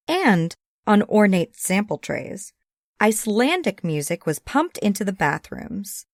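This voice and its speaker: Woman, audiobook narrator, scripted comic storytelling, medium pitch, very expressive range. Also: no room sound, clean studio sound.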